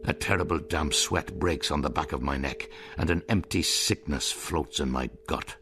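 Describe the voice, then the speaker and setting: A man's voice: extremely low pitch, moderate pace, over music. A man narrating in the first person as a piper in a radio drama, in a wry, dry storytelling manner.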